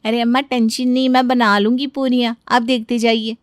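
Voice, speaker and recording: A woman voicing an earnest, expressive young daughter-in-law in a Hindi cartoon story, pleading and eager. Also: fairly high pitch, moderate pace, dry recording, studio quality, lightly acted. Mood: confident.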